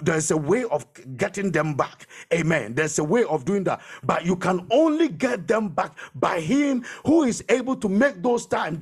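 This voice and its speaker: Man, preacher, raspy voice, widely swinging intonation, emphatic sermon delivery, repeated phrases. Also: high voice.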